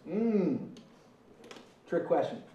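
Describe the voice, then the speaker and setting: A man's voice: on the high side, big pitch swings, very slow, lo-fi sound. A man giving a recruiting training talk to a live audience, repeating his points and checking in with "okay?" and "right?". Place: meeting room with audience. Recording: echoey room from a distance, faint background noise.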